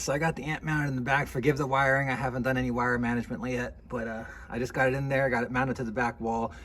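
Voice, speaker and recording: A man's voice: mid-range voice, slight background noise. A man talking casually through a car audio install step by step, often checking in with "okay?". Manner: plainspoken, repeats "okay?" to check in. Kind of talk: casual how-to walkthrough. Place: inside and around a parked car.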